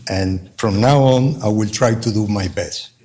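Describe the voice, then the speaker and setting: An older man with a Venezuelan Spanish accent, a native Spanish speaker, reading a halting statement to a court as a father. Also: lowish voice, mellow, slight background noise. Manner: slow, halting, careful phrasing with restarts. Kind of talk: reading a victim-impact-style court statement.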